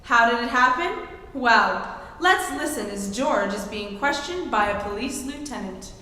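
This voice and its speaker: A woman's voice: fairly high pitch, expressive, measured pace, pushed and bright. A woman playing an old-time radio announcer, narrating a scripted comedy. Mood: wry, measured. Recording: echoey hall, long reverb.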